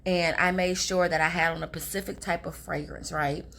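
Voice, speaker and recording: Young woman, raspy hoarse voice, lowish pitch, chatty confiding vlog talk. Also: steady tone, normal speed, fairly bright tone. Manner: chatty, confiding, addresses viewers as girls. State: hoarse from talking all day. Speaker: relationship advice vlogger. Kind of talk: casual advice talk to camera.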